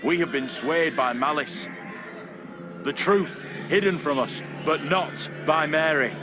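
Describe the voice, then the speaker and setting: A man's voice: fairly high pitch, unhurried, music in the background, lightly acted. Man playing a grave, resolute dissenter. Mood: grave conviction. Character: grave man speaking out against a corrupt reverend. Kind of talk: dramatic video game acting.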